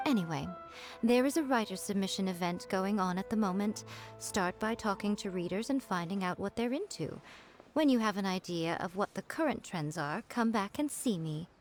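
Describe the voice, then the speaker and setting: A poised, teasing woman of mid pitch acted out as a sly fox spirit in an anime game. She sounds self-assured and commanding, over faint background music. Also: animated, moderate pace, lightly acted. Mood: smug, commanding. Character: sly, self-assured adult female fox-spirit shrine maiden and editor.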